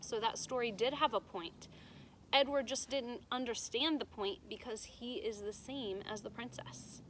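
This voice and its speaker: A woman's voice: on the high side, measured pace, fairly bright tone, poor audio. A woman teacher reading a storybook aloud to children, with emphatic delivery and simple explanations. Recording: noticeable background noise.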